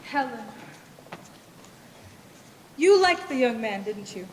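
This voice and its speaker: A woman's voice: a little high, animated, slow. A young woman acting in a stage play as an anxious, protective middle-aged mother. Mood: weary, concerned. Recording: echoey hall, clear background noise.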